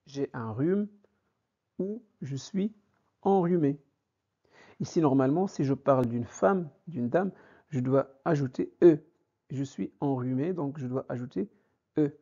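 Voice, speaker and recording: A man teaching a vocabulary lesson, speaking deliberately and repeating each phrase for learners. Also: mid-range voice, dark tone. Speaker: French teacher. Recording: dull lo-fi recording.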